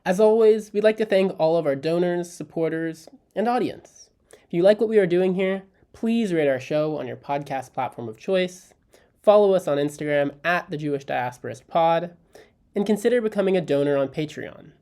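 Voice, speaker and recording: Low mellow woman reading out podcast notes, scripted announcer style. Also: moderate pace.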